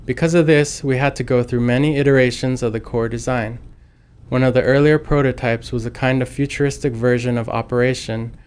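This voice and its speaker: A man giving scripted commentary as a game developer, with a clear, smooth voice. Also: medium pitch, a little mellow.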